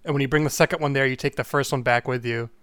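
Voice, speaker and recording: A man talks through a riddle with his friends, thinking out loud, backtracking and swearing casually. His voice is smooth and clear, recorded dry and close. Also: medium pitch, steady tone, fast talker, dry recording. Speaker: co-player. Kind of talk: casual chat over a game.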